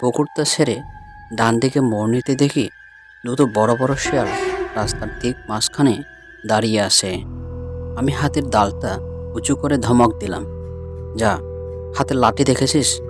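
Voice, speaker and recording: A man's voice: medium pitch, moderate pace, pauses often. Male storyteller narrating over prominent music. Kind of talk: scripted first-person ghost story narration. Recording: music bed behind the voice.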